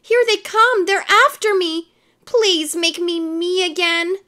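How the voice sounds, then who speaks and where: A woman voicing an excitable, easily panicked young man in a storybook, pleading and exclaiming. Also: extremely high pitch, slow pace, pitched above the performer's own voice, exaggerated voice. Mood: desperate pleading.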